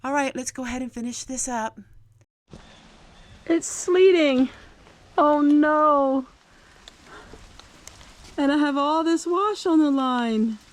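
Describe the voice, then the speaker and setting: A woman's voice: high voice, slow pace, long pauses. A woman narrating her homemaking in a casual vlog, chatty and folksy, as if talking aloud to herself. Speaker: homesteading vlogger.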